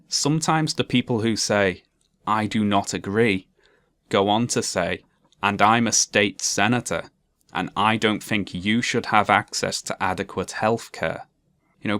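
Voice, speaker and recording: A young man with a monotone, dryly sarcastic delivery, narrating a commentary video essay. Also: on the low side, no room sound.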